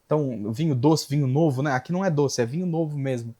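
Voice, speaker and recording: A man's voice: on the high side, fast, dark tone. A Brazilian man giving a scripture lesson as a religious teacher, explaining and repeating key phrases for emphasis. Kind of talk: Bible lesson, reading Hebrew aloud and translating.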